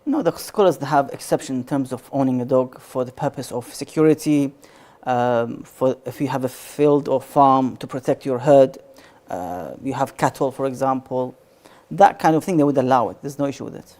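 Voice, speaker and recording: A man's voice: mid-range voice, moderate pace, dark tone. A man answering religious questions on a live call-in show, explaining patiently in short phrases with some restarts.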